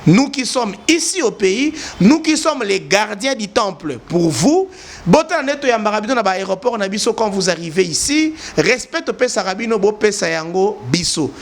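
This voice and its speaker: High-voiced Congolese man lecturing emphatically. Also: big pitch swings, normal speed. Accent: Congolese French. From eastern DR Congo. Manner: emphatic, lecturing, repeats for stress. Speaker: commentator. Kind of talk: impassioned opinion address.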